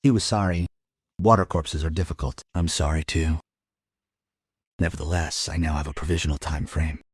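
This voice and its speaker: Man as detective narrator, low-pitched, rough-edged, deadpan, terse, weary, crime audio drama, close dry sound. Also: moderate pace, no echo, exaggerated voice. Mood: dry, sober. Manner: deadpan, terse, matter-of-fact. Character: male police investigator narrating his murder case, dry and world-weary.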